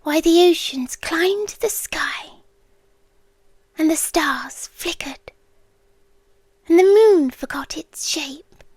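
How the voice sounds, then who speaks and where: A British woman playing an ancient, lovelorn world-ending being in an ASMR roleplay, speaking in a soft, breathy, intimate whisper. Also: extremely high pitch, no echo. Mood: mournful farewell. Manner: slow, hushed, intimate, with pauses. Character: ancient female apocalypse entity, lovelorn, tender and melancholy. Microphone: close microphone in a dry space.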